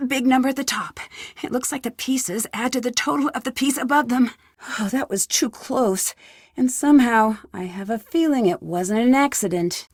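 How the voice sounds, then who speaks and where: An actress voicing a curious, plucky young woman detective in a video game, thinking aloud to herself in clearly enunciated lines. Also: on the high side, dry recording, clean studio sound.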